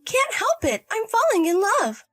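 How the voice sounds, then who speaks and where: Woman acting a whiny, high-pitched selfish young mother. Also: huge pitch swings, normal speed, a bit bright, exaggerated voice. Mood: airy, defensive. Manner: wheedling, petulant, defensive. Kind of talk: acted dialogue in a narrated drama story.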